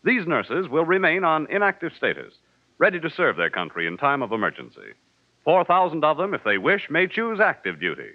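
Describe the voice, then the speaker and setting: A man's voice: on the high side, wildly swooping pitch, a little fast, no echo. A man announcing for 1940s network radio in a formal, polished scripted style. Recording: muffled 1940s radio broadcast recording. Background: music.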